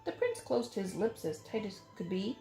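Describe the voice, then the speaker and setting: Animated woman reading a children's story. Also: medium pitch, normal speed. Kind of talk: expressive picture-book read-aloud in rhyme. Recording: roomy echo, faint music.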